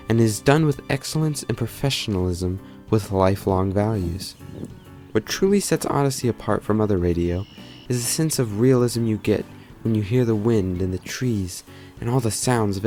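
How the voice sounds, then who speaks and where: A man delivers scripted documentary narration in a fairly low voice. Light music plays underneath him. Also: a little mellow.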